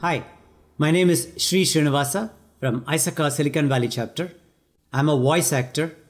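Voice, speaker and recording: A man with Tamil as his first language, a voice actor, introducing and narrating an audiobook passage in a clear, measured way. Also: a little high.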